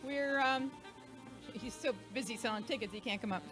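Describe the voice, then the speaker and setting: A woman's voice: a little high, moderate pace, a bit bright, soft background music. A woman hosting an event, giving an informal, chatty, joking thank-you speech to a live audience. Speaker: event host and organiser.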